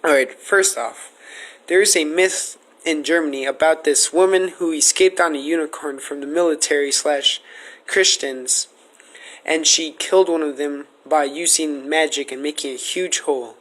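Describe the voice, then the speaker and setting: A man's voice: a little high, normal speed, a bit bright, poor audio. A man asking questions in a casual, conversational interview style. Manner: informal, conversational.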